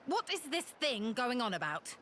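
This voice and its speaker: A woman's voice: fairly high pitch, very animated, moderate pace, a little hiss or hum. A woman playing a proud, bitter young retainer in a video game drama, speaking in a guarded, formal way. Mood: dismissive. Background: loud orchestral strings music.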